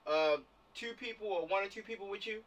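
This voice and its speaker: A man's voice: sits high, brisk, a bit bright, lo-fi sound. An American man leading a casual online meeting, giving directions and repeating his phrases, heard over a video call. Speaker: film production organizer leading a meeting. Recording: distant-sounding video call audio.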